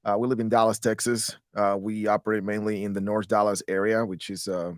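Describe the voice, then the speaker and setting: Man from guatemala, thirties, low voice, dry close sound, lots of restarts and fillers. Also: flat delivery, brisk, no echo. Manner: rambling, many restarts and fillers. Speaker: guest, real estate investor. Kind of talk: podcast interview.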